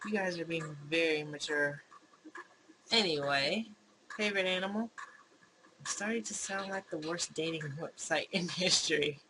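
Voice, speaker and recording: A woman's voice: low-pitched, normal speed, background noise, lightly acted. A woman voicing a wry, exasperated wife in a visual novel, reading her lines dramatically.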